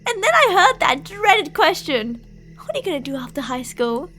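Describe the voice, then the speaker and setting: A woman's voice: very high-pitched, big pitch swings, moderate pace, sharp tone, faint music behind, exaggerated voice. A woman playing an obsessive, delusional young female stalker and former bully in an ASMR roleplay, clingy and emotionally volatile. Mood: bitter, wounded. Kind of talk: ASMR roleplay, dramatic monologue to listener.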